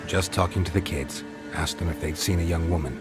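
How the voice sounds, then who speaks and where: A man playing a terse, stoic, deadpan monster hunter in a fantasy video game, with music behind his voice. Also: low voice, brisk, close to an everyday voice. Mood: earnest, restrained. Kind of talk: video game character acting.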